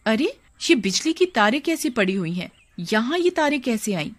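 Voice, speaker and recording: Woman voicing an anxious, animated young cartoon wife. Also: a little high, moderate pace. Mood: uneasy. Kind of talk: cartoon horror story acting.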